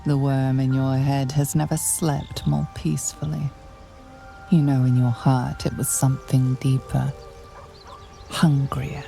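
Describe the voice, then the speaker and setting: A woman playing an ominous, all-knowing narrator of a dark fantasy game, grave and theatrical. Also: a bass voice, flat delivery, measured pace, gravelly, faint music behind, full character voice. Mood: foreboding. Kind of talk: second-person scripted game narration.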